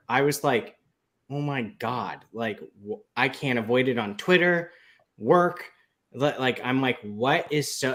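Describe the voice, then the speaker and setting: A man chatting casually as a panelist on a talk show, in a halting, conversational way with trailing asides. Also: medium pitch, a bit bright. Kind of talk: casual chat.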